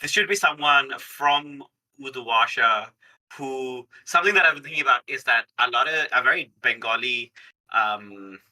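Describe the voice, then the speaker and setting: A man's voice: medium pitch, expressive, normal speed, pushed and bright, low-quality recording. A man playing a tabletop game, chatting casually and thinking aloud as he builds the story.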